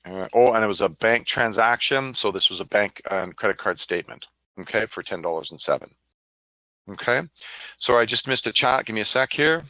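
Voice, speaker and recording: A man giving a casual live software demonstration, chatty and informal, muttering to himself as he works. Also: on the low side, animated, fairly quick, dry recording. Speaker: software demo presenter. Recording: narrow, phone-like line, dry.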